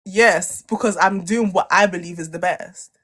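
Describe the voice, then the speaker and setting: A woman's voice: lowish voice, normal speed, a bit bright. A young woman co-hosting a podcast, arguing insistently in a heated debate, repeating her points and talking over interruptions. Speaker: podcast co-host.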